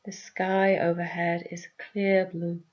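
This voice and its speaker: A woman leading a guided meditation with gentle, soothing, deliberate instructions. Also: lowish voice, flat delivery, highs cut off.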